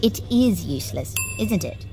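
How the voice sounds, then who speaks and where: A prim, formal keeper of an uncanny lift, voiced by a woman in an audio drama. She talks in genteel, measured phrases and probes gently. Her pitch sits in a middling range. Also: animated, over music, natural voice. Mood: wry. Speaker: voice actor.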